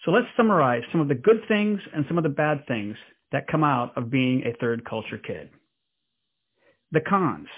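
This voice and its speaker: Husky man narrating over thin sound. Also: fairly high pitch. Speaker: host. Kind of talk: scripted narration. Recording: tinny phone-like sound.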